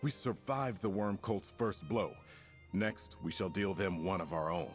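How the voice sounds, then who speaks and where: Resolute male alliance commander for fantasy game: low pitch, stately formal delivery, music behind. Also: measured pace. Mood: grave, determined. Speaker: quest-giver in a fantasy video game. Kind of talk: scripted game dialogue.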